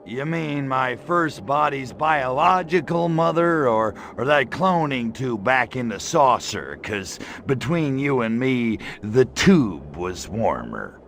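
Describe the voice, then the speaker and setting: An actor playing a brash, lecherous alien invader in a video game, with a cocky, sarcastic, slangy delivery. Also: male voice, mid-range voice, lively intonation, slow pace, hardly any pauses, a bit bright, a little hiss or hum. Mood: flippant. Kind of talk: video game cutscene acting.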